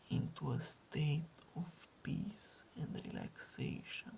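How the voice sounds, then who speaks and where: A woman leading a guided meditation in a hushed, breathy, husky voice that often slips into a whisper, with soothing repetition. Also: low-pitched, slight background noise, low-quality recording. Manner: slow, soothing delivery with long pauses and repetition.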